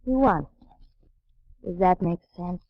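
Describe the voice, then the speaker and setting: An actress playing a fearless, taunting young circus trapeze artist in 1940s radio drama style. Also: female voice, lowish voice, slow, dry recording. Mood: defiant, taunting. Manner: commanding, taunting. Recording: muffled 1940s radio recording.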